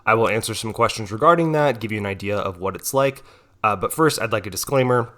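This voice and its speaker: A man's voice: fairly low pitch, fast, bright, forceful tone. A young man with a cold, a little nasal, explaining a topic in a casual tutoring talk with frequent "right?" tags. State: has a cold, may sound nasal. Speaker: economics tutor.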